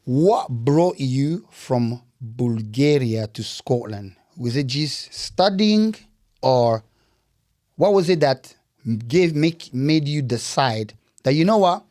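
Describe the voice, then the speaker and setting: A man's voice: mid-range voice, lively intonation, slow, darkish tone. A man hosting a podcast, interviewing in a casual style and often repeating words and phrases back. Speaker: podcast host.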